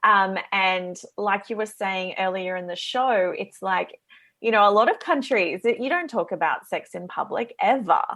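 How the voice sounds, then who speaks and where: An Australian woman talks as a guest on a radio interview, explaining her coaching work in an earnest, eager way. Her voice sits at an ordinary middle pitch for a woman. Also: normal speed, a bit bright.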